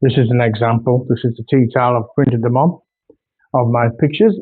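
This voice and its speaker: Older man in his 60s, North Yorkshire accent, rambling homely chat, middle pitch, thin phone-like sound. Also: steady tone, a little fast, slightly rough. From Australia, raised in North Yorkshire. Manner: rambling, homely, with many ums and restarts. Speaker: artist. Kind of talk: casual video introduction. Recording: tinny phone-like recording.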